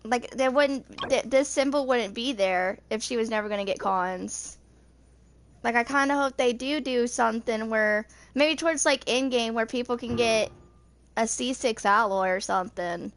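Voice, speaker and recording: A woman's voice: fairly high pitch, normal speed. A woman in her twenties chatting casually while gaming, with a rambling, informal way of talking. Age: 20s. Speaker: video game streamer.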